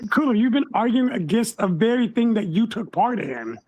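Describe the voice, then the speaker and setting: A man on a discussion panel, asking probing questions in a slow, deliberate way. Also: high voice, big pitch swings, darkish tone.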